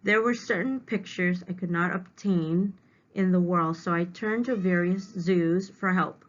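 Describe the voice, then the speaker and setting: Low-voiced woman teacher reading aloud. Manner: explanatory, pausing to define words simply. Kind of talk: read-aloud lesson with simple word explanations. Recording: dull, lo-fi home recording.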